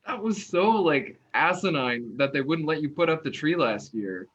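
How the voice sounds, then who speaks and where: An actor playing a tired, opinionated young man in an online play reading, talking in a halting, wry, naturalistic way. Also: a little high, slightly rough. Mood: teasing, friendly. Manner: halting, animated, wry talk. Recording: dull, lo-fi online recording.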